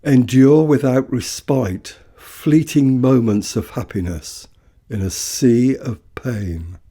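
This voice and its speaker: A man giving a solemn, measured dramatic recital of war poetry in deliberate phrases. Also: mid-range voice, mellow, slightly rough.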